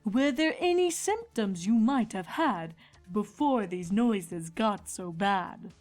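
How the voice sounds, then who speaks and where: A woman voicing a calm, wise female zebra herbalist who speaks in measured rhyme in a cartoon fan fiction audio drama. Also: medium pitch. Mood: thoughtful. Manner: measured, speaks in rhyming couplets. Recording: music behind the voice.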